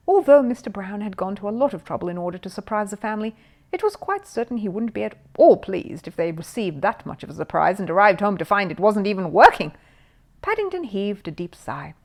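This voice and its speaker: A woman narrating a children's storybook in an expressive, emphatic storytelling manner. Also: mid-range voice, a little fast. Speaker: storyteller reading a children's book.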